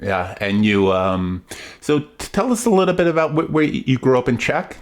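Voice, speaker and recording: A middle-aged man hosting a podcast, asking questions with stammering restarts and filling in with short, quick replies. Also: medium pitch, animated. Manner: stammering restarts, frequent short backchannels and laughs. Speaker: podcast host. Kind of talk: podcast interview.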